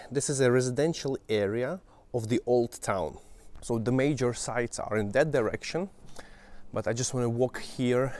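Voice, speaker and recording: A man's voice: mid-range voice, darkish tone, a little hiss or hum. Ukrainian-accented man chatting through a walk. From Western Ukraine. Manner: chatty, pointing things out to viewers. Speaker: travel vlogger. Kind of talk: casual walking travel commentary. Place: outdoors walking through an old town.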